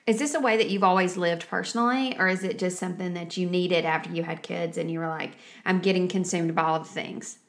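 A woman interviewing a guest in a casual, colloquial way, making her points with animated emphasis. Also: fairly low pitch, a little fast, few pauses. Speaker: interviewer, host.

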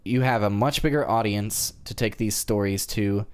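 A man talking as a panelist in a casual group discussion, somewhat halting and hesitant in delivery. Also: on the low side, clear, resonant tone. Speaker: podcast panelist.

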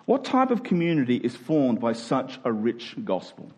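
A man's voice: medium pitch, wildly swooping pitch, moderate pace, a little mellow. A man preaching a sermon to a congregation in an emphatic style, using rhetorical questions and repetition.

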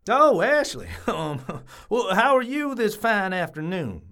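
A woman's voice: mid-range voice, very animated, measured pace, a bit bright. Woman playing a nosy Southern man, swooping delivery. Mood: sheepish. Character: nosy, defensive small-town man, bumbling busybody. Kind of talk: comic audio drama acting.

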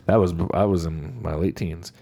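A man's voice: low-pitched, normal speed, creaky, warm tone. A young man co-hosting a podcast, chatting loosely, rambling and swearing as he tells stories. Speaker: podcast co-host. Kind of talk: casual chat.

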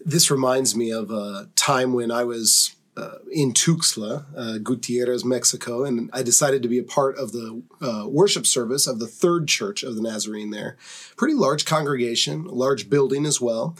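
Guy talking church stuff, kinda husky voice, gets emphatic. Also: medium pitch, moderate pace. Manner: earnest, building to emphatic proclamation. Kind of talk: casual devotional discussion.

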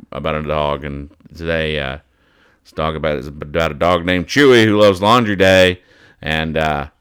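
Male host, low smooth voice, casual comedic commentary, halting and mock-earnest. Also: fairly bright tone. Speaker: host of a comics-reading show. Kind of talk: casual humorous commentary on newspaper comics.

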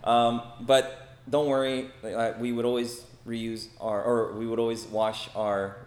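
A man's voice: medium pitch, level tone, unhurried. A man in his forties with an American accent gives an informal, conversational talk to a group, sharing personal anecdotes and often checking in with short tags. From Los Angeles, United States. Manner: conversational, frequent check-in tags like "okay?" and "right?". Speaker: speaker giving a talk. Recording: roomy echo, faint background noise.

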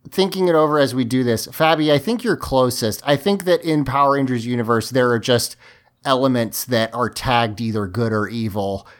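A man's voice: mid-range voice, moderate pace. A man hosting a casual podcast discussion, talking a lot and emphatically while his voice is giving out. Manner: emphatic, talkative. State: losing his voice. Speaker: podcast host.

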